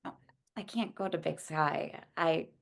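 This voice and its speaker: A woman's voice: low-pitched, unhurried, gravelly. A woman playing an earnest, stubborn young idealist at a table read, with an emphatic way of talking. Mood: quiet, dry.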